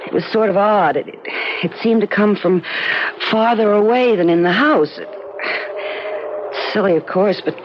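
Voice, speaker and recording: An actress playing a frightened, insistent wife in a 1970s radio drama, speaking haltingly with anxious stammers. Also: female voice, medium pitch, close to an everyday voice. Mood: puzzled, insistent. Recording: noisy, muffled old radio broadcast recording.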